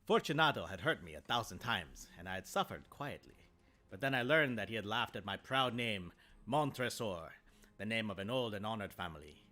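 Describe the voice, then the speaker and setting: A man doing a put-on Italian accent to voice a proud, vengeful nobleman, narrating with measured theatrical delivery. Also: fairly low pitch, animated, sharp tone. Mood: brooding. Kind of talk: dramatic reading aloud.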